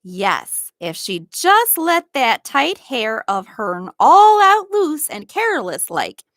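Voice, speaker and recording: A woman voicing a kindly, loyal old male gardener in a storybook, speaking in a gentle, deliberate rustic dialect. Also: high voice, very animated, no room sound, clean studio sound, pitched above the performer's own voice, full character voice. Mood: earnest, loyal. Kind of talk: storybook read-aloud.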